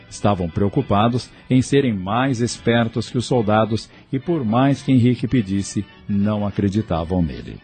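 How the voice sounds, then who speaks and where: Casting: male Brazilian narrator, lowish and warm, scripted audiobook storytelling over soft music.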